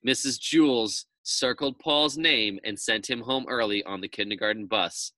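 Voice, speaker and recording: A man narrating a children's story aloud in an expressive storytelling style. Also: mid-range voice, moderate pace, dry recording.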